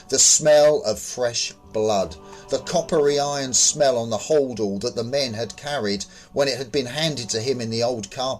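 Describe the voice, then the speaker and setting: A man's voice: medium pitch, low-quality recording. A man reading scripted horror fiction as a dramatic story narrator.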